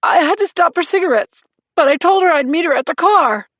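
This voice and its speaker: A big, grief-stricken husband pleads through sobs, his voice pushed high and loud with desperation, as a woman narrator acts him out. The audiobook recording is dry and close. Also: expressive, a little fast, dry recording, lo-fi sound. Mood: desperate sobbing. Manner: choked, sobbing pleas. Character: grieving husband, big man, sobbing, desperate.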